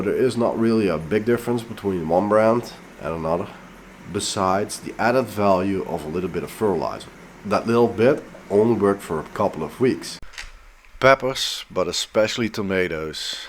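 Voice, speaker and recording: A Dutch-accented man, speaking English as a second language, walks through his growing routine in a casual vlog. His voice sits very low, and he talks in a frank, explaining way, stretching out the words he wants to stress. A faint background hum runs underneath. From Netherlands. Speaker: pepper grower and fertilizer maker.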